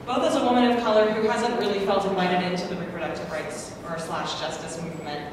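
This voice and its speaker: A woman's voice: fairly low pitch, steady tone, fast talker. A woman from the United States speaking as a panelist, earnest and self-deprecating, with many ums. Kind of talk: conference panel talk. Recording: echoey hall from far off, noisy.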